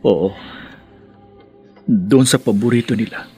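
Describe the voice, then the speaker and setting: A man's voice: lowish voice, takes it slowly, warm tone, slightly rough, heavily acted. An actor playing a remorseful, ashamed prisoner, a husband and father, in an emotional Filipino radio drama. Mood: subdued, withdrawn. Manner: emotional, pleading, repeats phrases. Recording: music behind the voice.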